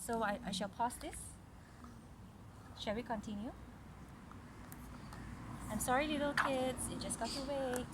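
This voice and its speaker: A woman's voice: a little high, a little slow, long pauses, heavy background noise. A mother reading a children's story aloud, breaking off for casual explanations and questions to her child.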